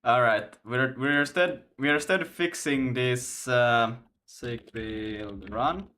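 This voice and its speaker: A man's voice: medium pitch, slow, pushed and bright. A young man with a Finland-Swedish accent, a native Swedish speaker, chatting casually on a live coding stream and rambling with frequent restarts and laughter. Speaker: game developer streaming.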